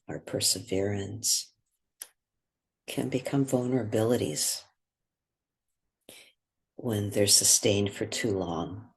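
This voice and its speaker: A man leading a guided meditation in a gentle, unhurried way, with long pauses between phrases. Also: on the low side, slightly rough.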